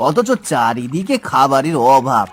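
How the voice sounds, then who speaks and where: A man's voice: mid-range voice, expressive, a little slow, breathy edge. An actor playing a poor, kind-hearted farmer and father in a cartoon folk tale, speaking earnestly and dramatically. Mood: earnest, kindly.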